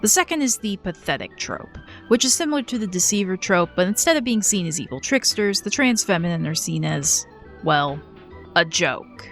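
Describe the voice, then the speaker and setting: A woman narrating a scripted video essay in a measured, earnest way. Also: lowish voice, expressive, faint music behind.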